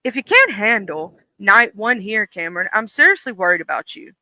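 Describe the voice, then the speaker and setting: A storyteller voicing a young woman who is concerned and then teasing, in a horror story read live, with her quoted lines spoken slightly louder. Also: medium pitch, very animated, normal speed, no echo, heavily acted, poor audio. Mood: mocking.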